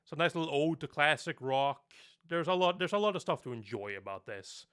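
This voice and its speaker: A man's voice: a little high, lively intonation, brisk, fairly bright tone, clean tone. A young man from Norway, a native Norwegian speaker talking in English, chatting casually on a live stream, rambling and laughing often.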